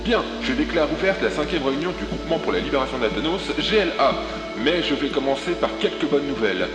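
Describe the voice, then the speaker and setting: A man's voice: fairly high pitch, expressive, quick pace, lightly acted. A man playing a self-assured, controlling leader of a radical group in an audio drama, speaking with command. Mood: brisk, proud. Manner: commanding, speechifying. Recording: roomy echo, loud music underneath.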